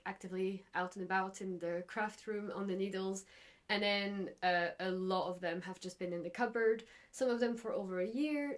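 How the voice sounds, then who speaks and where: A young woman from Belgium hosting a knitting podcast from her craft room at home, chatting casually and rambling with lots of fillers and restarts. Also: medium pitch.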